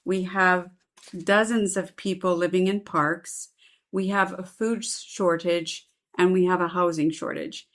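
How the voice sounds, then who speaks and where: A woman's voice: on the low side, steady tone, a little slow. A woman hosting an indoor gallery conversation. She speaks fluently and at length, linking long sentences together without strain. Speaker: host, volunteer organizer. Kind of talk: interview conversation.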